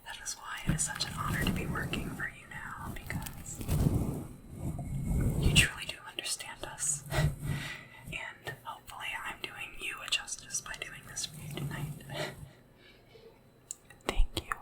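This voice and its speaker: A woman speaking softly and whispering in an ASMR roleplay as a polite, hesitant, deferential sleep assistant to royalty. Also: a little slow, long pauses, recorded from a distance, low-quality recording. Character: nervous, devoted royal sleep assistant to a dragon ruler.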